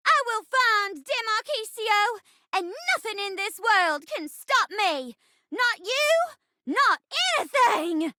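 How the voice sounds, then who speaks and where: A woman's voice: very high voice, bright, forceful tone, no echo, clean studio sound, exaggerated voice. A woman voicing a sweet, lovestruck young bride in a video game, girlish and theatrical, with grand stage declamation. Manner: grand stage declamation, breaking into bashful chatty asides. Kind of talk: exaggerated romantic stage-play acting in a video game.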